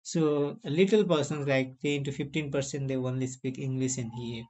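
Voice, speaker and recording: A man's voice: mid-range voice, steady tone, moderate pace, a little mellow. A young man from Nepal, hosting a casual video chat in simple, broken English with emphatic bursts. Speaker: channel host.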